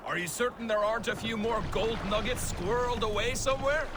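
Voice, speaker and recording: An actor playing a needling, competitive Viking raider in video game dialogue. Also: male voice, extremely high pitch, a little fast. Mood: teasing. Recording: clear water and boat noise.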